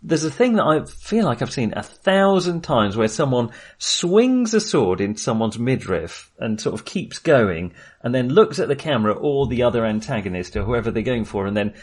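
A man's voice: mid-range voice, moderate pace, few pauses, breathy edge. A British man co-hosting a film podcast, chatting casually and expressively, often acting out imagined lines. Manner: expressive, acts out imagined quotes.

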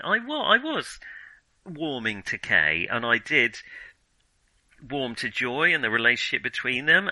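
A man's voice: medium pitch, bright, forceful tone, no echo, poor audio. A man chatting casually as a film podcast host, opinionated and thinking aloud as he reviews.

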